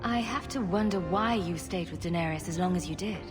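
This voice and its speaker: Female game hero, gentle and curious, mid pitch, played by a woman; reverby, loud music bed. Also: fairly quick, natural voice. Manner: gentle, probing questions. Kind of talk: video game cutscene dialogue.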